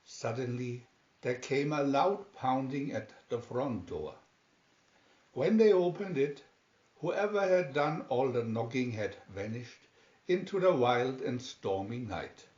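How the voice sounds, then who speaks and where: A man reading a children's story aloud in a deliberate, dramatic storytelling manner. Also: mid-range voice, animated, darkish tone. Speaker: storybook reader. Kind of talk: scripted children's story narration, read aloud. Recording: roomy, slightly dull home recording.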